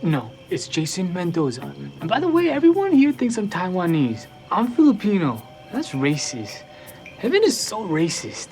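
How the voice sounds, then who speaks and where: A man's voice: sits high, huge pitch swings, moderate pace, darkish tone, soft background music. A young man from Florida in a sitcom scene, talking in a dim, easygoing slacker way. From Jacksonville, Florida. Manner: dim, laid-back slacker talk. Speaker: sitcom character. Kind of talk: sitcom acting.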